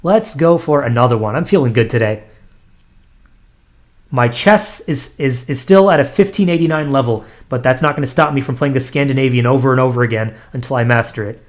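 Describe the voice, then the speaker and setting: A young man at a medium pitch talks through an online chess game, half to himself. He trails off into ums, restarts his sentences and makes fun of his own play. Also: a little fast. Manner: self-deprecating, muttering analysis with frequent ums and restarts. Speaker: chess streamer. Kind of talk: thinking aloud while playing blitz chess online.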